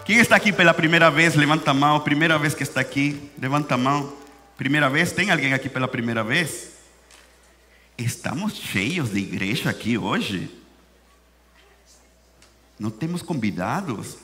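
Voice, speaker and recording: Venezuelan-accented preacher in a reverberant church hall. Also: male voice, on the high side, expressive, bright, forceful tone, slightly rough, soft background music. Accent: Venezuelan Spanish. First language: Spanish. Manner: emphatic, repeats key phrases and slows down for weight. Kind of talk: sermon.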